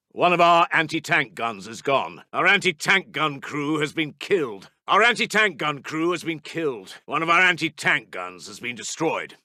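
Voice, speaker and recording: Ww2 british army officer for a game, urgent clipped reports, sharp forceful voice, dry studio sound. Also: male voice, a little high, no echo. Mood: grave, dismayed. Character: urgent British Second World War army intelligence officer. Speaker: military intelligence announcer. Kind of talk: video game voice lines, wartime battle reports.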